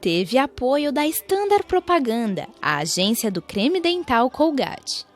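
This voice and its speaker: A woman's voice: high-pitched, sing-song, slow. A young Brazilian woman reading scripted documentary narration. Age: young adult. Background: music.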